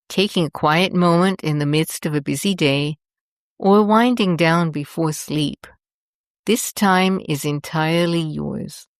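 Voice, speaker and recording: Slow, low-pitched woman guiding meditation. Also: no room sound, clean studio sound. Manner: gentle, soothing, deliberate. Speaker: host and meditation guide. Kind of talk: guided meditation narration.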